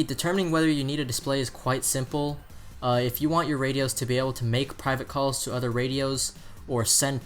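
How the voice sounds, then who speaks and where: A man's voice: mid-range voice, slight background noise. A man presenting an explanatory buying guide in the style of a product review narration.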